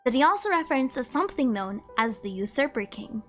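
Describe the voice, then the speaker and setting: A woman's voice: high voice, very animated, a little fast. A woman hosting a video, narrating scripted trivia with playful, chatty asides. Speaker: host of a game trivia video.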